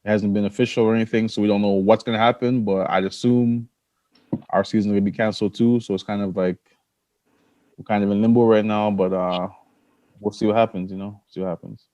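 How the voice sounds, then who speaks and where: A man's voice: on the low side, steady tone, a little fast, darkish tone, no room sound. A man talking casually as a basketball coach on a podcast panel. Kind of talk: casual chat.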